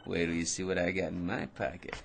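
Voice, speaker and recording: An actor playing a cocky, excitable working-class dreamer in a radio drama, with slangy, boastful patter. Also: male voice, deep voice, a little fast, gravelly, a little hiss or hum. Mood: boastful, persuasive. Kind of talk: old-time radio drama acting.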